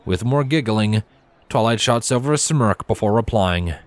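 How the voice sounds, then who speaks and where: A man reading a story aloud as narrator, in an expressive scripted storytelling style. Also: lowish voice, normal speed.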